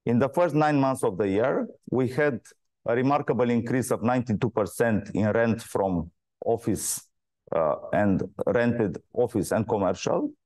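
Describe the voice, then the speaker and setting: A man in the role of a company executive reads a prepared financial presentation in a measured, formal manner. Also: medium pitch, darkish tone, no echo. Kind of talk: scripted earnings call presentation.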